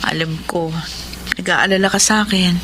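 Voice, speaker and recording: A woman's voice: fairly low pitch, fairly even intonation, moderate pace, background noise, close to an everyday voice. A woman with a Filipino accent playing a devoted, steadfast young sweetheart, reassuring and solemn in a melodramatic radio drama. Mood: consoling.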